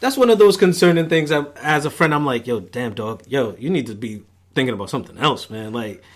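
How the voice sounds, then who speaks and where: A man from Brooklyn, co-hosting a casual podcast with joking, animated banter and frequent laughs. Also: mid-range voice, a little fast. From Brooklyn, New York. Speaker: podcast co-host.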